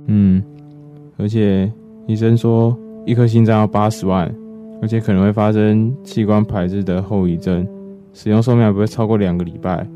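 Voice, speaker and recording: A man's voice: fairly low pitch, flat delivery, moderate pace, dark tone, lightly acted. An actor playing a weary man in his twenties who shoulders his family's burdens, confiding and reflective, in a radio drama. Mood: grave, resigned. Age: 20s. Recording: slightly muffled, guitar music behind.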